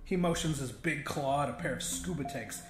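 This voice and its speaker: A man with a fairly high voice narrates a first-person horror-comedy story. His storytelling is conversational and sweary. The voice has a roomy echo, and music plays clearly behind it. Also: moderate pace. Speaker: audiobook narrator.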